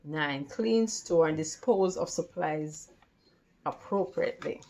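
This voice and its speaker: Slow, halting woman reading a lesson aloud. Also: deep voice, a little mellow. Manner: halting, careful reading with stumbles and repeats. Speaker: tutor reading study material aloud. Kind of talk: read-along lesson from a textbook.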